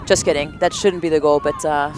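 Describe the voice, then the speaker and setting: A woman calls a rugby match outdoors in an analytical, chatty way, with plenty of "uh" and "um" as she breaks down the play. Traffic and outdoor noise sit clearly behind her voice. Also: low-pitched, fairly even intonation, fairly quick. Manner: analytical, chatty with filler words. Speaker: color commentator. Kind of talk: rugby commentary.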